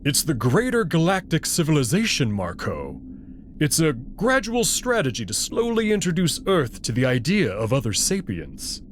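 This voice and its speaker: A man voicing a nervous, evasive alien wolf in a visual novel, speaking in a hesitant, halting way. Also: on the high side, wildly swooping pitch, slight background noise. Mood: hesitant, explaining. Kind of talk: visual novel character voice acting.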